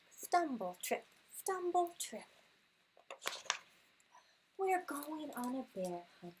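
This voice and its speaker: High-pitched, very animated woman reading to children. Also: husky, rough recording. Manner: expressive, with sound words, gasps and questions to listeners. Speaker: storyteller reading a picture book to small children. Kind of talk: animated read-aloud with asides to young listeners.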